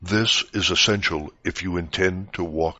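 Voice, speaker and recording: A man reading a scripted spiritual teaching aloud in a measured, didactic way. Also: low voice, steady tone, sharp tone. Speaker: reader of spiritual articles. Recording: dull, roomy lo-fi recording.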